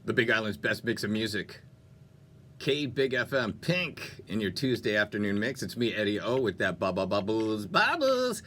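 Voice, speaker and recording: A man's voice: lowish voice, big pitch swings, normal speed, fairly bright tone. A man presenting a music radio show in an upbeat, chatty and playful style with casual, jokey asides. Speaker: radio DJ and host. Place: radio studio.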